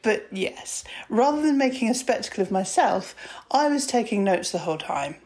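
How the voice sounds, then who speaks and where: A woman playing a composed, caring and wry academic doctor in an audio drama, speaking in a proper, measured, teasing way. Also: on the high side, sing-song, a little mellow.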